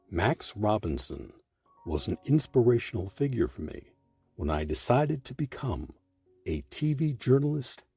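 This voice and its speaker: A man delivers scripted documentary narration in a very low voice with lively, swooping intonation. His tone is mellow, and music plays faintly behind him. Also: slightly rough. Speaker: host and narrator.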